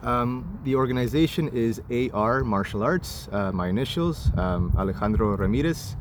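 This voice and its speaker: A man from Chile who moved to Canada as a child, answering interview questions as an instructor in a halting, deliberate way. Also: mid-range voice, expressive. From Chile, moved to Canada at eight. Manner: halting, measured, deliberate explaining. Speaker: martial arts and violence prevention instructor, guest. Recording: clearly noisy, urban traffic ambience.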